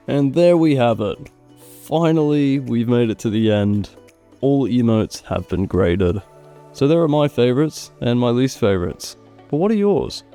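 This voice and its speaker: Male gaming host, mellow voice, widely varied intonation, opinionated review narration, close mic, background music. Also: lowish voice. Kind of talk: scripted video review narration.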